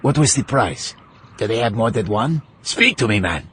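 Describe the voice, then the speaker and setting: Imperious older dictator, swooping delivery. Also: male voice, on the low side, very animated, normal speed, a bit bright, slight background noise. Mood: greedy menace. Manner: commanding, imperious. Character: imperious older male Bolivian dictator, ruthless ex-general. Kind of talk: dramatised audiobook acting.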